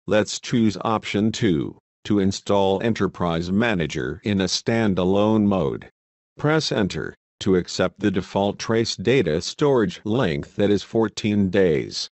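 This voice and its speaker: A man reading scripted step-by-step software instructions in a measured way as a tutorial narrator. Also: lowish voice, no room sound.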